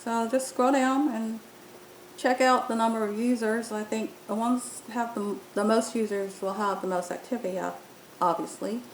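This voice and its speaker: A woman's voice: fairly even intonation, normal speed. A woman presenting an app tutorial, explaining it step by step in an informal walkthrough style. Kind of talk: informal software walkthrough narration. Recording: roomy echo, faint rumbling background noise.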